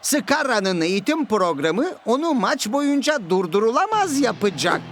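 A man playing an eccentric, doting fitness scientist in an animated cartoon dub. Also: sits high, a little slow, over music, exaggerated voice. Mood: smug explaining.

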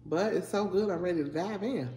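A congested woman in her forties talks to her viewers between bites, relaxed and chatty, humming over her food. Her voice rings a little in a roomy space, with a faint hiss underneath. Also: fairly low pitch, a little fast. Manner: relaxed, chatty, savoring food with hums while eating. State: congested from a head cold. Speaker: mukbang vlogger. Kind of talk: casual eating video, talking to viewers. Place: living room, indoors. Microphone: fixed camera at table. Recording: echoey room with faint noise.

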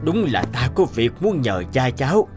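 A man acts out an older gentleman in an animated morality tale. He is kindly and careworn, speaking earnestly with some hesitation. There is faint music behind the voice. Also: mid-range voice, normal speed, natural voice. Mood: worried. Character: worried, kindly older man seeking help for charity. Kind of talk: animated cartoon dialogue.

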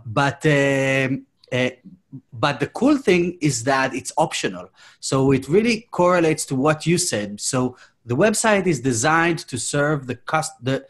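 Male panelist, thirties, Israeli accent. Average pitch, casual and somewhat halting delivery, headset on a video call. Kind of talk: casual round-table discussion. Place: indoor room.

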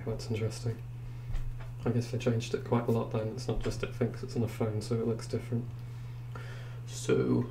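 A deep-voiced young man casually reviewing software on his computer, thinking aloud with hesitant fillers. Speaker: tech reviewer. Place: indoors at a computer. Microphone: desktop microphone. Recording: noisy recording with hum.

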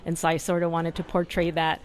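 A woman's voice: on the low side, completely flat delivery, fairly quick, a little hiss or hum. A woman from California being interviewed about her art at a fair booth, chatty and laughing often. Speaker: artist guest. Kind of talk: casual interview.

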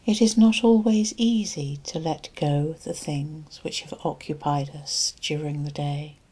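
A woman with a fairly low, soft voice leads a sleep hypnosis session. Her delivery is very slow and soothing, with long gaps between short phrases. The tone is breathy and husky, like a therapist easing a listener toward rest. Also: steady tone. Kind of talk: guided relaxation and sleep hypnosis narration.

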